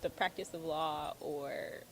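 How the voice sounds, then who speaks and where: A woman's voice: low voice, steady tone, slow pace, slight background noise. A woman from Houston, chatting as an interview guest with lots of "um" and "like". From Houston, Texas. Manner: chatty, uses many fillers like "um" and "like". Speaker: guest, attorney and author. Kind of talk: casual interview answers.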